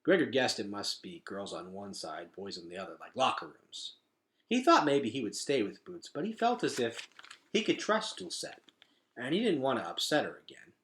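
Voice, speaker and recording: A man reading a children's story aloud as a teacher, with expressive, dramatic emphasis. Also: medium pitch, moderate pace.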